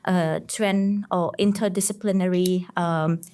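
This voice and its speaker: A young woman from Cambodia, a master's student guest on a podcast, answering slowly and hesitantly with many pauses. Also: fairly low pitch, fairly even intonation, mellow. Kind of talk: interview answers.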